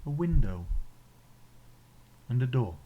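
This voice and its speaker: A man with an English accent leading a guided meditation in a gentle, soothing, instructional way, leaving pauses between phrases. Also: mid-range voice, very animated, phone-quality sound. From England.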